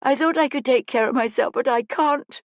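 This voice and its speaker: A woman's voice: a little high, big pitch swings, a little slow, husky, no room sound, highs cut off, voice pushed up, exaggerated voice. A woman voicing a frightened, polite young lad in an audiobook, with a small, shaky, pleading voice. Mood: despairing.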